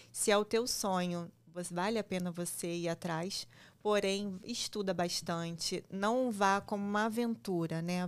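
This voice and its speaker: A woman's voice: lowish voice, clear, resonant tone. A woman hosting a food podcast, talking in a conversational way and explaining with everyday examples. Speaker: podcast host. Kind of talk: casual podcast interview.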